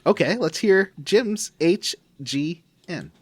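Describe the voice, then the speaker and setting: A wry man chatting on a gaming podcast, his pitch rising and falling a lot. The sound is dry and close, and he often trails off mid-thought. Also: lively intonation, slow pace, slightly rough, dry recording. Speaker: podcast co-host. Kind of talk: casual chat.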